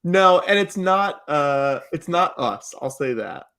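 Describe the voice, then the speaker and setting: A man talking casually as a podcast guest, in a joking, animated way with chuckles slipping into his speech. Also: a little high, slow pace. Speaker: podcast guest and live improv comedy performer.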